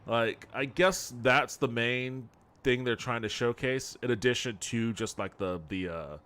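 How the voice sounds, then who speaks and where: Male game streamer, medium pitch, casual commentary, rambling, blunt, critical. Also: a little slow, a bit bright, clean tone. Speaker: game streamer and podcast host.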